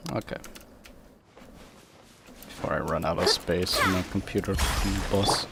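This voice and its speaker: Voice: low voice, fairly even intonation, unhurried, gravelly, heavy background noise. A game streamer chatting casually and rambling to themselves and viewers while playing. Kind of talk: casual game livestream chat.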